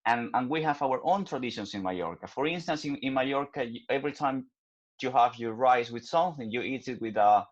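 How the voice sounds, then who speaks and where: A man from Ecuador, a chef on a panel, speaking haltingly with many restarts. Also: mid-range voice, muffled recording.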